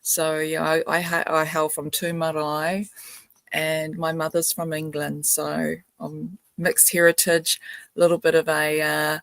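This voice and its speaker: An older woman from New Zealand giving a soft, meandering spiritual talk on a video call, laughing often. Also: sits low, monotone, measured pace, dry recording. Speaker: spiritual grandmother representative.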